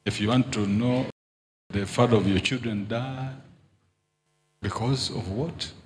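A man's voice: mid-range voice, steady tone, measured pace, a little mellow. A middle-aged man speaking as a prophet to a congregation on a handheld microphone, in short repeated declarations. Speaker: prophet, preacher. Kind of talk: prophetic ministry before a congregation. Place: large hall with congregation. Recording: noisy live church hall ambience.

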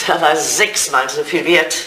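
An actress playing an elderly English widow in a retro German radio play, teasing, forthright and sentimental, with a playful, pointed manner. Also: female voice, deep voice, fairly even intonation, measured pace, pushed and bright. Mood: teasing, wistful. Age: older. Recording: roomy, slightly noisy old radio recording.

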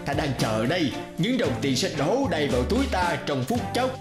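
A man playing a greedy, pompous fat rich man in an animated folk tale, with an animated delivery. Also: on the high side, brisk, over music. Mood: gleeful.

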